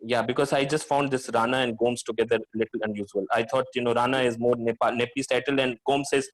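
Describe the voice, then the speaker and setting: Man, panel moderator, video call, hesitant, many fillers, middle pitch. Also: level tone, fast talker, poor audio. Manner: hesitant, long questions full of fillers. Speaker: webinar moderator.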